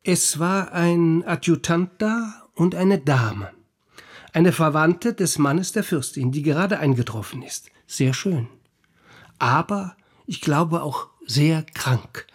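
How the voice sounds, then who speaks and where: Husky, wry little doctor. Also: male voice, fairly high pitch, animated, slow, exaggerated voice. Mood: gossipy. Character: small, thin, skeptical doctor, wry gossiping confidant. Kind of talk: literary radio play dialogue.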